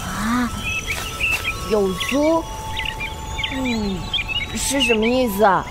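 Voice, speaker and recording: A woman voicing a cheeky, greedy young male fox spirit with a shrill, squabbling manner. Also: drawn-out words, heavy background noise. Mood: curious. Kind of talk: Chinese audio drama acting. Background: music score.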